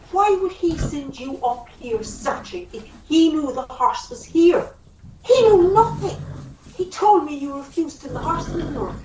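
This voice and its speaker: Woman acting a high, flustered, lovesick horse thief. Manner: dramatic, protesting. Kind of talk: amateur radio play acting. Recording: roomy, distant mic with hiss.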